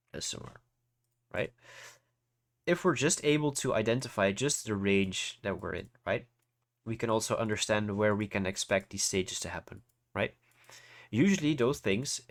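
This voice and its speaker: A man hosting a casual live tutorial, congested from hay fever, explaining informally and often checking that listeners follow. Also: lowish voice. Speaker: trading livestream host and teacher. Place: voice-over on an online livestream.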